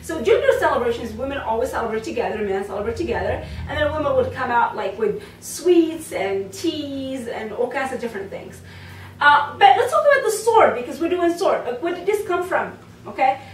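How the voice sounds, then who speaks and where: A woman's voice: sits high, very animated, brisk, slight background noise. Chatty woman from Morocco, roomy sound. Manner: chatty, many fillers and asides. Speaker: belly dance teacher. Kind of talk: casual talk to camera. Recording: roomy sound from a distant mic.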